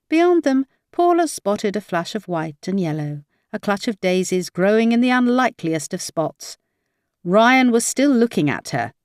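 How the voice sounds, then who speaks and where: A woman's voice: mid-range voice, no room sound, studio quality. A woman reading a crime novel aloud as scripted audiobook narration.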